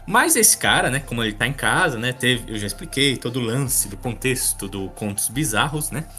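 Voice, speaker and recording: A man's voice: medium pitch, lively intonation, moderate pace, sharp tone, soft background music. A man with a Brazilian accent hosting a comics podcast, chatting casually and colloquially with amusement. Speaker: podcast host.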